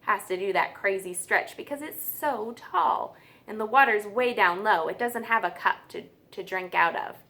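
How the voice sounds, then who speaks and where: Bright-voiced woman teacher reading to children. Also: medium pitch, moderate pace. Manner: simple child-directed questions, emphasizing key words. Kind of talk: children's nonfiction read-aloud with explanatory asides.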